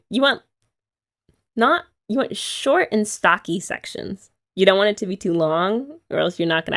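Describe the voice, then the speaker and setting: Chatty young woman, gravelly lively ASMR voice. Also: mid-range voice. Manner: chatty, giggly, rambling with light laughs. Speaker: ASMR roleplay host playing a girlfriend. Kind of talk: soft-spoken ASMR roleplay chat.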